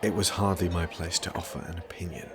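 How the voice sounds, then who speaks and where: An actor playing an aloof, sardonic young prince and magician in an audio drama, speaking formally, dryly and guardedly. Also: male voice, low voice, fairly even intonation, brisk, crowd noise, close to an everyday voice. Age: young adult. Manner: formal, dry, guarded speech.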